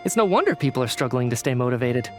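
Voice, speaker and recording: A man's voice: mid-range voice, quick pace, faint music behind, natural voice. A man playing a weary, affable government researcher in a video game, polite and somewhat hesitant. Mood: flustered, evasive. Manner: polite, hesitant, sighing, expressive.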